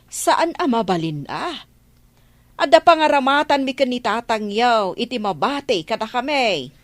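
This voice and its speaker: Lively, firm old Ilocano mother played by a woman. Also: a little high, moderate pace, dry recording. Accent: Filipino, Ilocano. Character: firm, hardworking old mother, grandmother sharing out harvest. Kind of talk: radio drama acting.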